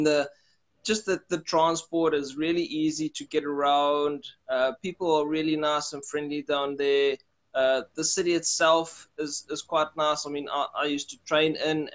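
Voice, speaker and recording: A young man with a South African accent chatting relaxed on a video call, rambling with many restarts. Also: on the high side, low-quality recording. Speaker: guest. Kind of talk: casual video call chat. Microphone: webcam on video call.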